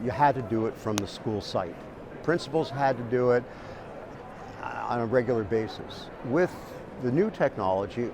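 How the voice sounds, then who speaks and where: A man's voice: medium pitch, noisy background. A man giving explanatory interview answers as an industry expert, often repeating words as he goes.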